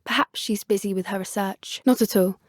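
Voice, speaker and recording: A woman's voice: mid-range voice, steady tone, a little fast, no room sound, studio quality, lightly acted. A woman with an English accent playing an earnest, plucky teenage schoolgirl witch who loves creatures, speaking politely in video game dialogue. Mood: earnest, thoughtful. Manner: polite, earnest, softly eager. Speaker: video game character voice actor.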